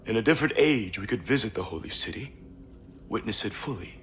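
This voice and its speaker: Solemn male pilgrim monk for a game: lowish voice, resigned, faint ambient noise. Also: expressive, a little fast, fairly bright tone. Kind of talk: scripted video game dialogue.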